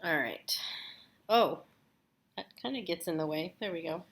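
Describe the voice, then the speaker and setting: Lady teacher doing a read aloud, really expressive pitch, chatty asides to the kids. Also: unhurried, gravelly. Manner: expressive, addresses young listeners directly. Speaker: teacher reading aloud to children. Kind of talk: animated storybook read-aloud with casual asides.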